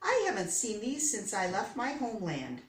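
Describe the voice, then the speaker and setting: Woman reading as a soft-spoken old immigrant shopkeeper, echoey room. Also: high-pitched, huge pitch swings, moderate pace, distant mic, full character voice. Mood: softly moved. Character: kindly old immigrant hat-shop owner, stern then warm.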